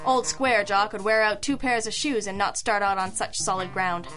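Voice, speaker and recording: A woman's voice: medium pitch, steady tone, moderate pace, fairly bright tone, lightly acted. A woman playing a wry, self-assured girl detective, narrating an old-school radio mystery in a dry, wisecracking manner. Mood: wry, brisk. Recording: music under the voice.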